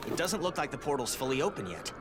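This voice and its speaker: A man's voice: mid-range voice, sing-song, fast, background noise, natural voice. A man voicing a brainy, anxious teenage turtle techie in a video game, earnest and technical, speaking with urgency. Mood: worried.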